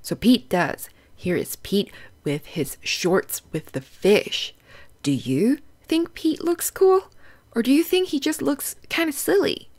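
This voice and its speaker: A woman's voice: on the high side, slow, darkish tone. A woman hosting a children's storybook read-aloud, explaining things in simple, instructive phrases and putting questions to young listeners.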